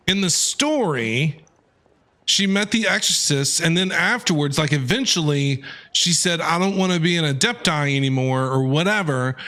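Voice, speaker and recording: A man doing live gameplay commentary as a streamer, excitable and thinking out loud. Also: on the high side. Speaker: game streamer. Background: game music.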